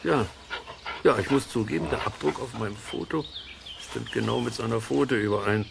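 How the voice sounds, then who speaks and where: A curious, stubborn nature sleuth in a children's audio drama. His voice is a man's, crackly with fry, and he mulls things over half to himself. Clear outdoor animal ambience sits behind him. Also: mid-range voice, normal speed. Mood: surprised, eager. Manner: musing, muttering to himself. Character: curious, stubborn male amateur nature sleuth named Peter. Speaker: main character of the series. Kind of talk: German children's radio play acting.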